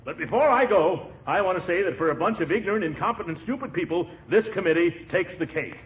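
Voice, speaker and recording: An actor playing a pompous, touchy, vain heavyset uncle in a 1940s radio sitcom, with a blustering manner. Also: male voice, high-pitched, lively intonation, fast talker. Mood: indignant. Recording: muffled, hissy old radio recording.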